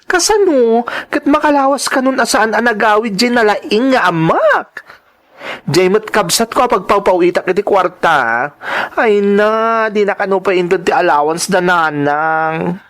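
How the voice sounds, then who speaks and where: A woman's voice: medium pitch, animated, measured pace, close to an everyday voice. A woman with a Filipino accent playing a burdened, complaining migrant worker in an audio drama. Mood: exasperated. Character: burdened, complaining woman working abroad, a friend.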